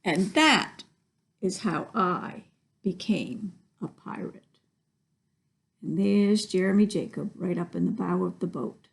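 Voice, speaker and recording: A woman's voice: lowish voice, slow pace, vocal fry, breathy edge. A woman reading a picture book aloud to children as a library story reader, instructive and given to asides to her listeners.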